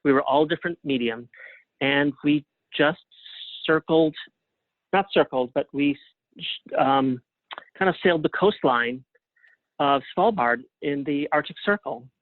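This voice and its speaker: A man speaking as an interview guest in a casual, thoughtful, halting way over a tinny phone-like connection. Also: fairly high pitch, no echo. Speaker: interview guest, playwright and artist. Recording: narrow tinny phone-like line.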